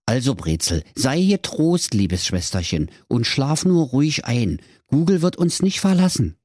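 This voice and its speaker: A man's voice: mid-range voice, very animated, measured pace, darkish tone, slightly rough, no echo, clean studio sound. Man doing a cocky teenage Berlin boy. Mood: reassuring. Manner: cheeky, know-it-all. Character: cocky, dismissive chubby teenage brother.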